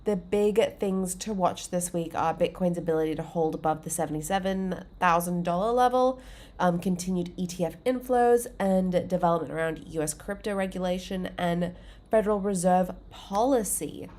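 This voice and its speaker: Woman livestream host, lower voice, stuffy and congested, upbeat rambling chat with lots of "um" and "like". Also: normal speed, darkish tone. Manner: chatty, filler-heavy, upbeat. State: congested, under the weather.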